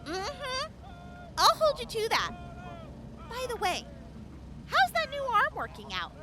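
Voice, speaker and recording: A woman's voice: very high-pitched, wildly swooping pitch, takes it slowly, bright, forceful tone, exaggerated voice. A woman playing a friendly, tough young raider medic in an audio drama, casual, upbeat and caring. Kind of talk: post-apocalyptic audio drama acting.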